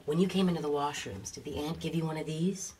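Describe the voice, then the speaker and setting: A woman's voice: low voice, quick pace, noisy background, lightly acted. An actress playing a jaded, drug-dependent woman resigned to her life, languid and intimate, in a radio drama.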